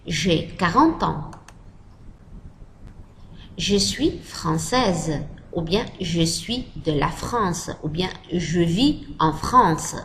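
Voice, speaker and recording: A woman giving a French lesson, talking slowly and repeating model phrases for learners. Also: lowish voice, expressive, a bit bright, slight background noise, low-quality recording. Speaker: French teacher. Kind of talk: slow spoken language lesson.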